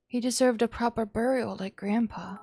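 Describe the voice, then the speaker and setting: A woman reading a visual novel aloud as dramatic storytelling, in a hushed tone. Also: a little high, fairly even intonation, moderate pace, husky, dry recording. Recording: music behind the voice. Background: background music.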